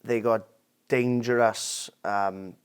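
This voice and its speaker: A Welsh man joining a casual political discussion as a guest, hesitant and pausing often. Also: mid-range voice, fairly even intonation, smooth. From Wales. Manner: hesitant, many "um"s and restarts.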